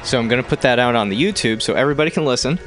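A man guesting on a talk radio show, rambling and halting, with crude jokes. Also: medium pitch, animated, a bit bright. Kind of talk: casual radio chat.

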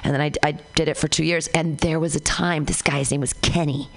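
A woman hosting a casual radio chat, telling stories animatedly and jumping into high voices when she quotes people. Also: steady tone, fairly quick, vocal fry. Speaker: radio show host.

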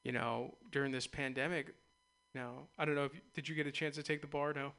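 Bright-voiced male radio host. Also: a little high, fairly even intonation, quick pace, vocal fry, clear, resonant tone. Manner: loose, rambling, laughs easily. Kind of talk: casual interview chat.

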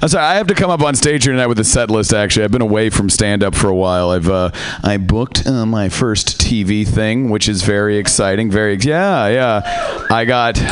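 A man's voice: fairly low pitch, noisy background. Young man doing laid-back stand-up. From Seattle, USA. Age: young adult. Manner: laid-back, sardonic, trailing filler words. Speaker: stand-up comedian. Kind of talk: stand-up comedy set. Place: comedy club stage, live audience.

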